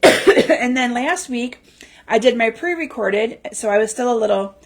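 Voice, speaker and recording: A woman hosting a crafting livestream in chatty, casual talk full of ums, her voice slightly raspy from a lingering cough. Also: fairly high pitch, animated, unhurried. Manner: chatty, lots of ums and restarts. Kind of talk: casual live-stream chat. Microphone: livestream mic.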